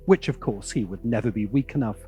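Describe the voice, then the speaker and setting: A man's voice: on the low side, brisk, dark tone. A man hosting a scripted video essay, narrating with sardonic, dramatic emphasis. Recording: music playing behind the voice.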